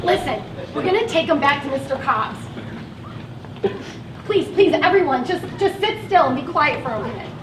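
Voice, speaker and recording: A woman's voice: high-pitched, animated, normal speed, far from the mic. An actress playing an overwhelmed woman driving a van of patients in a stage play, pleading and stammering in a frazzled way. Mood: anxious, pleading. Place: theatre stage, picked up at a distance. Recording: roomy, noisy stage recording from afar.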